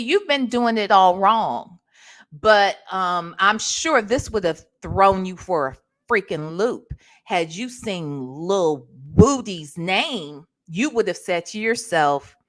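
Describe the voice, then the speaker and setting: A woman's voice: medium pitch, a bit bright. A woman doing casual gossip commentary as an online host, sassy, slangy and profane, punctuating her points with emphatic rhetorical check-ins. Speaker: online commentator hosting a reaction show.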